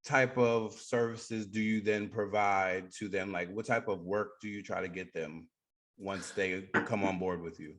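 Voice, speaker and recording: A man's voice: on the low side, fairly even intonation, measured pace, fairly bright tone. A man hosting a creative business radio show, asking questions in a conversational interview style.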